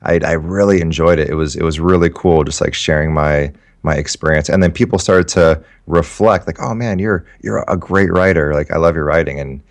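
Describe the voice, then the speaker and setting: A man's voice: very deep voice, fairly quick, hardly any pauses. A man in his thirties whose first language was Japanese, a guest writer telling his story in a loose, rambling way with many restarts. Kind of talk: casual interview talk.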